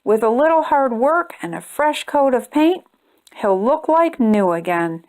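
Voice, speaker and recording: A woman's voice: on the high side, lively intonation, unhurried, a little mellow, poor audio. A woman voicing a silly, kindly old grandfather in a storybook, with a folksy, reassuring manner.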